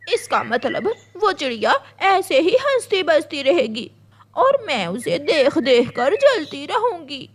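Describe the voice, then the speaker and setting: A woman's voice: high voice, sing-song, slow, exaggerated voice. A woman voicing a jealous, spiteful female crow wife in a cartoon story, melodramatic and indignant. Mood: jealous, bitter. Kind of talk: Hindi cartoon story acting.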